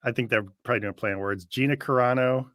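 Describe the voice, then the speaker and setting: A man with a bright, forward voice at a middling pitch gives casual reaction commentary. He sounds conversational and opinionated, recorded with no room sound at all. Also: quick pace, dry recording, clean studio sound. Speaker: show co-host.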